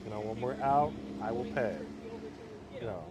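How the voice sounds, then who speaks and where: A man speaking as an interview guest, a halfway home counselor, in a calm, measured, fairly monotone way. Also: medium pitch. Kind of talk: casual conversation. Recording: outdoor street noise.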